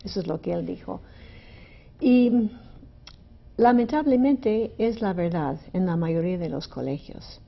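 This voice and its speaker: A woman's voice: on the low side, lively intonation, measured pace, gravelly, long pauses, highs cut off. A middle-aged woman from the United States, a native English speaker with an American accent, answering conversationally as a radio interview guest. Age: 50s or older. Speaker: university language department director, interview guest. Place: radio studio.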